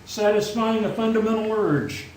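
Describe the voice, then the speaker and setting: Radio play father: actor as principled older small businessman, gentle and measured, slightly high male voice, echoey room. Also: a little hiss or hum, lightly acted. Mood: sincere. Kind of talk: stage radio play acting. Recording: echoey theatre, faint music behind.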